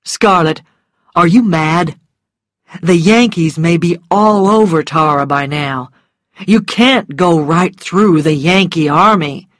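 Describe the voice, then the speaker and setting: A woman's voice: on the low side, slow, dry recording, clean studio sound. A woman voicing a suave, mocking man in a story, cool, ironic and polite. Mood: incredulous. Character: suave, mocking adult male rogue, cool and teasing.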